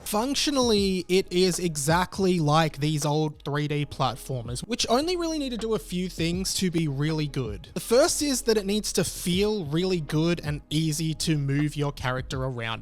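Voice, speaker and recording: A man in his thirties narrating a video essay as host, conversational, enthusiastic and emphatic. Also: fairly high pitch. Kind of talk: semi-scripted video essay narration to camera.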